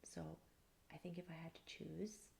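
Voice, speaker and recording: A woman in her thirties speaks softly in a calm ASMR style, close to the mic. Her voice is lowish and mellow, breathy to the edge of a whisper, with very heavy vocal fry. She takes her time as she chats about herself. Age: thirty-four. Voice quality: soft, breathy near-whisper. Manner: calm, unhurried. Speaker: ASMR video creator. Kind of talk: soft-spoken ASMR, answering personal tag questions. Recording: faint background hiss.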